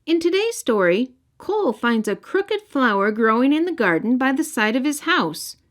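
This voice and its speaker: A woman narrating a children's storybook as the storyteller, in an expressive scripted read-aloud style. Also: high voice, moderate pace. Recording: music bed behind narration.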